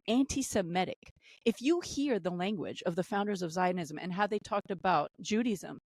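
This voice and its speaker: A woman's voice: a little mellow, no echo. Podcast guest, woman, Arabic speaker, heated and emphatic, dry close sound. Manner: emphatic, rising pitch when indignant, repeats phrases. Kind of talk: impassioned political commentary.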